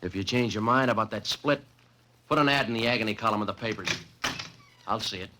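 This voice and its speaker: An actor in an old-time radio drama playing a curt, menacing crook who talks in blunt, clipped slang. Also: male voice, fairly low pitch, fast talker, sharp tone, slight background noise. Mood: gruffly threatening.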